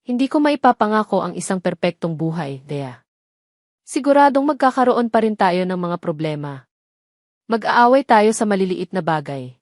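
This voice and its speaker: A woman's voice: medium pitch, expressive, moderate pace, dry recording, studio quality, lightly acted. Woman reading as sincere, regretful man in love. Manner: earnest, soft-spoken. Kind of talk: audio drama storytelling.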